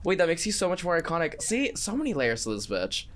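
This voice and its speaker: A man from Chile, guesting on a podcast, chatting in an animated, campy, joking way. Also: fairly high pitch, fast talker. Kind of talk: casual chat.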